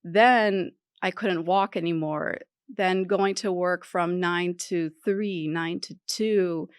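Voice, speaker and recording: A woman's voice: lowish voice, fairly even intonation, slow, clear, resonant tone, no echo, clean studio sound. A middle-aged woman from Iran, a podcast guest talking candidly and with determination about her career change. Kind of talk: casual interview talk.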